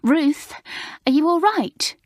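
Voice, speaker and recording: An anxious, stubborn schoolgirl with a very high, urgent voice, voiced by a woman reading a storybook. She pleads and fusses in a raised, pressing tone. The sound is close and dry. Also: lively intonation, slow pace, no room sound.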